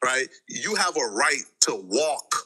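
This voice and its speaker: A man with a very sharp, bright voice tells street stories with swooping pitch. His delivery is dramatic and slangy, and he acts out what people say. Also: sing-song, slow pace, husky. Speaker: content creator. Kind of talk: animated street-news storytelling.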